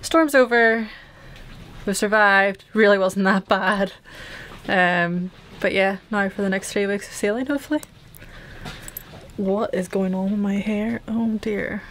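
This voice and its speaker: A woman's voice: mid-range voice, measured pace, fairly bright tone, slight background noise. A young woman vlogging casually from a small boat cabin, chatty and rambling with lots of fillers. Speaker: sailing vlogger. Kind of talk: casual chat to camera. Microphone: handheld camera at arm's length.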